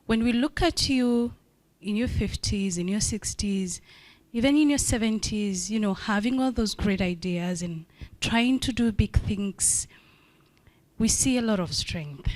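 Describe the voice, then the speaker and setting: Slow, earnest woman in her forties. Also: mid-range voice, a little mellow, no room sound. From Kenya. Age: 40s. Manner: earnest, encouraging. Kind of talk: forum remarks.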